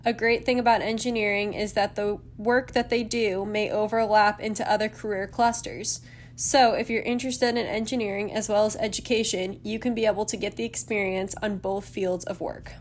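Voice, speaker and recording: A woman's voice: medium pitch, steady tone, moderate pace. Woman presenting a lesson, some background noise. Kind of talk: scripted lesson narration over slides.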